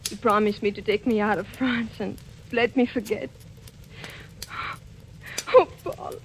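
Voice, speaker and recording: A woman's voice: mid-range voice, steady tone, unhurried, lightly acted. An actress playing a guilt-ridden, tragic woman assassin in a 1940s radio suspense drama, in a melodramatic style. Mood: anguished, pleading. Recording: noisy old radio recording.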